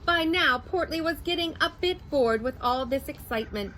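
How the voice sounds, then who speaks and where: Expressive woman teacher reading aloud. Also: sits high, a little hiss or hum. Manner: slow, expressive storytelling voice. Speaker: first grade teacher. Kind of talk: reading a picture book aloud to children.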